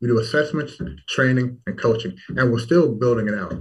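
Distant husky-voiced man telling his story. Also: medium pitch, fairly quick, lo-fi sound. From Toronto, then Louisville, Kentucky and Washington DC. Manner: talkative, repeats words for emphasis. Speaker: startup founder as guest. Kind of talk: casual interview chat.

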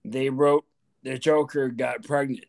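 A man co-hosts a podcast in easy, casual chat. He speaks slowly and asks questions in an unhurried way. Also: medium pitch, fairly even intonation, creaky, a little mellow. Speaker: podcast co-host.

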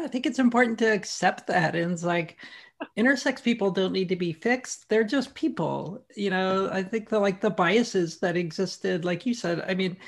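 A woman in a casual podcast chat, speaking in a reflective, measured way and steering the conversation. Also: medium pitch, vocal fry.

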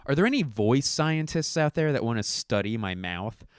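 A man who does stand-up, rambling casually to camera at home with a hoarse voice, clearing his throat often and swearing freely. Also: mid-range voice, lively intonation, unhurried, no room sound, clean studio sound. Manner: rambling, self-correcting, profane. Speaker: stand-up comedian. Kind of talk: casual talking-to-camera commentary.

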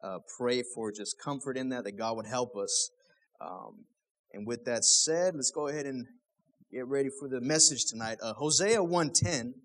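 A man's voice: medium pitch, normal speed, fairly bright tone, low-quality recording. A young man, a pastor, preaching a sermon in a casual, conversational way, full of rhetorical questions.

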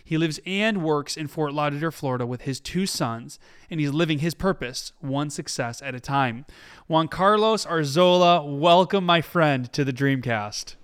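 A man's voice: on the high side, moderate pace, clear, resonant tone. A man hosting a podcast, introducing and interviewing a guest with upbeat energy. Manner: upbeat and energetic, rising excitedly when greeting friend. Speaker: podcast host.

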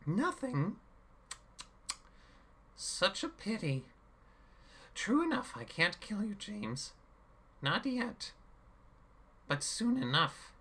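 A man voicing a cruel, mock-sweet male villain who talks slowly and theatrically. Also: high-pitched, sing-song, a bit bright, breathy edge, voice pushed up, heavily acted. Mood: menacing. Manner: sneering, slow and theatrical, bursts into screams.

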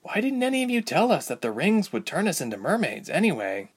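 A man's voice: high-pitched, huge pitch swings, a little fast, husky, voice pushed up, heavily acted. A man voicing an earnest, anxious young woman adventurer in a fantasy storybook. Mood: sheepish, frustrated.

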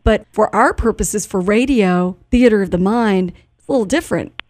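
A woman's voice: mid-range voice, normal speed, a little mellow, no room sound, clean studio sound. An American woman chatting informally as host of a live radio interview. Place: radio studio.

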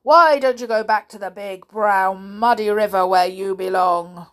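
A woman voicing a big, indignant male elephant in a storybook, scolding emphatically with drawn-out words. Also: mid-range voice, close to an everyday voice. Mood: scornful.

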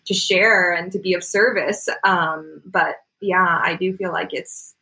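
A woman's voice: lowish voice, steady tone, measured pace, gravelly, bright, forceful tone. A woman with a North American accent talking casually as an interview guest, in a rambling, self-deprecating way. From Canada-born, grew up in Florida, United States. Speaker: interview guest, artist and climate activist.

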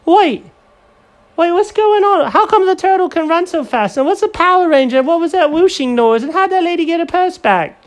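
A man voicing an excitable, curious little male character in a made-up bedtime story, squeaky and full of questions. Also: moderate pace. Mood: excited. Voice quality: squeaky, wide-eyed questioning.

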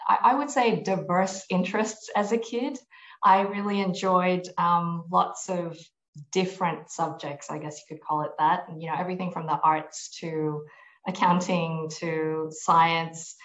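A woman with a lowish voice talks through her answers carefully, pausing on ums and restarting her sentences. The sound is muffled and lo-fi. It has the echo of a bare room. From grew up in Malaysia, US and New Zealand. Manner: thoughtful, many ums and restarts. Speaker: podcast guest, tax executive. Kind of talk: conversational interview answers.

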